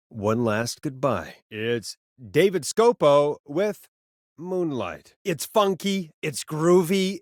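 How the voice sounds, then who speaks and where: A smooth, cheeky radio host on a late-night rock show for a video game. His pitch swings widely as he talks like a showy announcer. The man's voice sounds close and dry, with no room around it. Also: no room sound. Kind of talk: radio DJ patter and game voice lines.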